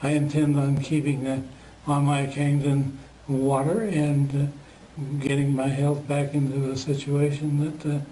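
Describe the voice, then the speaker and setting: An elderly man in his eighties giving an unscripted testimonial, halting and full of fillers and pauses. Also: medium pitch, level tone, warm tone, a little hiss or hum, poor audio. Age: 80s.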